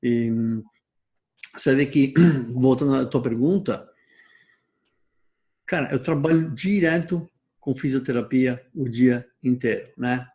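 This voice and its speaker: A man's voice: medium pitch, a little slow, long pauses, dark tone. A man who runs a gym, talking casually and in a rambling way, a bit rusty in the language. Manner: rambling, says he is out of practice with the language. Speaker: gym owner, trainer. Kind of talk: casual discussion.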